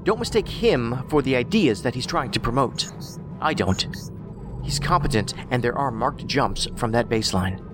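An actor playing an eccentric, analytical federal investigator in an audio drama, wordy and dryly whimsical. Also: male voice, medium pitch, lively intonation, moderate pace, over music, lightly acted. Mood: pensive. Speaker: federal agent, profiler.

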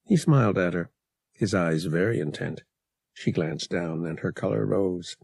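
A man's voice: low-pitched, unhurried, creaky, a little mellow, slightly rough, no echo, clean studio sound. A man narrating action fiction as a dramatic scripted audiobook read.

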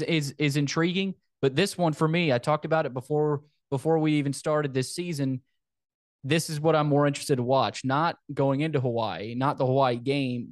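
Halting man previewing football, dry sound. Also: a little high, no echo. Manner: halting, repeats words and phrases. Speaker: sports podcast host. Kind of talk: casual football preview talk.

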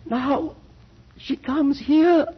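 An actor playing a guilt-maddened, morbid aristocrat in a 1940s radio drama, with a husky voice and an anguished, halting, melodramatic delivery. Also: male voice, very high voice, fairly even intonation, full character voice. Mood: frenzied dread. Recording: dull, muffled 1940s radio recording.

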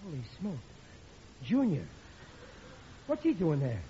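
An actor playing a bumbling, shifty working-class husband with an American accent in 1940s radio comedy style. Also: male voice, on the high side, huge pitch swings, slow pace, mellow, exaggerated voice. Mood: excited. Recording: muffled, very noisy old radio recording.

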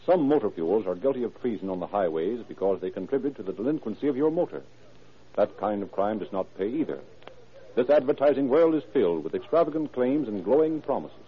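A man's voice: medium pitch, lively intonation, fairly quick. A man working as a radio announcer and narrator, delivering scripted copy in a formal, emphatic 1930s style. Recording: tinny, rough old radio recording.

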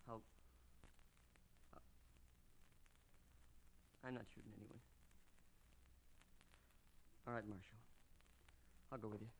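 An actor playing a hot-headed, defiant young ex-convict gunslinger in an old radio western. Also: male voice, on the low side, fairly even intonation, normal speed, vocal fry. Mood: subdued. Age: young adult. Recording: noisy vintage radio recording.

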